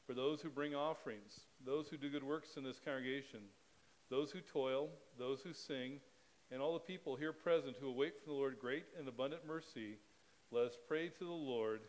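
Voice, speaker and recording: A middle-aged man leading a spoken liturgy as a pastor, reading in a measured, steady cadence at a church pulpit microphone. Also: medium pitch, rough recording.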